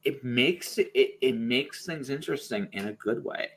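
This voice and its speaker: A man's voice: mid-range voice, animated, unhurried, fairly bright tone. A man hosting a podcast over a video call, talking casually and rambling, often restarting his sentences. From Ontario, Canada. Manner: rambling, lots of restarts and repeated words. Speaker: podcast host. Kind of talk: casual conversation.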